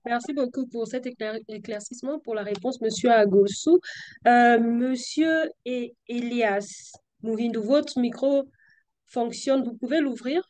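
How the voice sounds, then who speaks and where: A woman's voice: on the high side, a little slow, a little mellow, no room sound. A woman moderating an online Q&A, polite and brief as she hands the floor to the next speaker.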